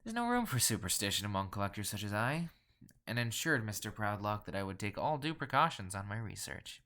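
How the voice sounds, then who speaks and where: A man performs a rich, obsessive collector with a fairly low voice. He is pompous and coolly formal, choosing grand words. The delivery is theatrical first-person narration for a horror audio drama. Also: sing-song, fairly quick, sharp tone, full character voice. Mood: haughty, reflective. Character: pompous, ruthless wealthy male collector, haughty and obsessive.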